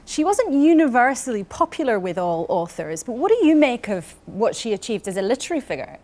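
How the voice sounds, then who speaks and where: A woman's voice: a little high, very animated, fairly quick, darkish tone. A woman interviewing guests as a news programme presenter.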